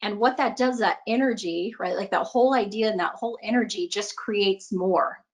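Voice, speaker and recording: A woman's voice: mid-range voice, normal speed. Earnest woman coach giving a motivational talk. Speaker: money mindset coach presenting a talk. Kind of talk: motivational webinar talk, conversational.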